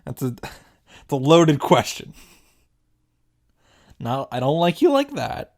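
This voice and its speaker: A man's voice: medium pitch, very animated, slow, gravelly, a little mellow. A man reading a visual novel aloud and reacting casually, often restarting and repeating himself as he goes.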